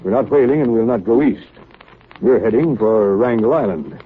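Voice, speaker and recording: An actor playing a stern, commanding ship's captain giving clipped orders in a 1930s radio drama. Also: male voice, medium pitch, normal speed, lightly acted. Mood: grim, resolute. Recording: tinny, noisy 1938 radio broadcast.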